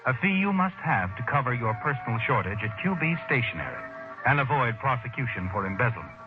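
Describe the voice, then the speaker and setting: A man plays an insinuating narrator of old radio suspense. His highly animated voice moves through deliberate, dramatic phrases. Music sits clearly behind him on a dull, dated recording. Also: lightly acted. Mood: ominous. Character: omniscient male narrator addressing the heroine, insinuating, suspenseful. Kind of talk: 1940s radio drama narration in second person.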